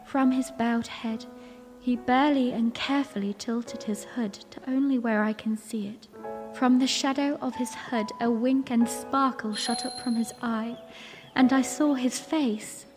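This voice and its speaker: Woman as a high-voiced princess in long reverb. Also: steady tone, measured pace, a little mellow, soft background music, natural voice. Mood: intrigued. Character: young princess, excitable, wondering, recounting her memory. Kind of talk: dramatic first-person audio drama narration.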